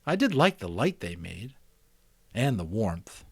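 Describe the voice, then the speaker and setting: A man voicing an earnest, tentative young boy who speaks haltingly, searching for words, in a storybook reading. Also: on the low side, sing-song, breathy edge, no echo, full character voice.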